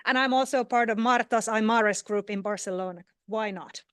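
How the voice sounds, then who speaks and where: A woman's voice: on the high side, normal speed, a bit bright, clear, resonant tone, dry recording, clean studio sound. A woman from Finland giving a scientific conference talk, hesitating often with fillers and restarts. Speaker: conference speaker. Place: conference hall. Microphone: no microphone.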